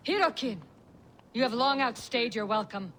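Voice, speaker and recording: A woman's voice: fairly high pitch, lively intonation, normal speed, fairly bright tone. An actress voicing a cunning, imperious witch in a video game cutscene, haughty and sardonic in manner. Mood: irritated. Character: cunning, imperious witch in a Norse myth realm. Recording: clear background game ambience noise.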